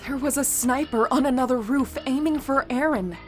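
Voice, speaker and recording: A woman's voice: high-pitched, normal speed, soft background music, natural voice. A woman playing a stern, protective teenage girl training as a hero in an audio drama.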